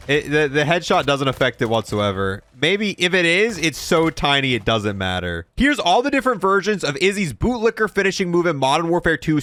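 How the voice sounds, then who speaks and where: A man's voice: on the high side, bright, forceful tone. A young man doing casual gameplay review commentary, informal and thinking aloud. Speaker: gaming video host.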